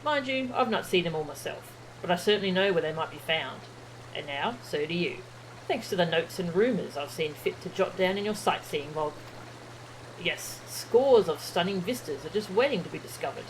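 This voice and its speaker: A woman voicing an earnest, eloquent explorer from a fantasy game, with formal, flowery, measured delivery. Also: fairly low pitch, lively intonation, slight background noise, close to an everyday voice, low-quality recording. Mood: inviting, enthusiastic. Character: earnest, eloquent explorer welcoming a fellow adventurer. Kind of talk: fantasy game dialogue read aloud. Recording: game music behind the voice.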